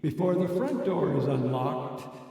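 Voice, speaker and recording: A man playing a mysterious, commanding avenger who taunts deliberately and ominously in a 1930s-style radio drama. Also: on the high side, lively intonation, warm tone. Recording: very echoey hall, distant mic.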